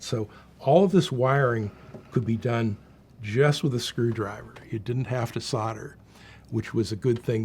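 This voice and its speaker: A man's voice: medium pitch, darkish tone, slightly rough. A man giving a plain, unscripted, instructive explanation as he demonstrates equipment. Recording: faint background noise.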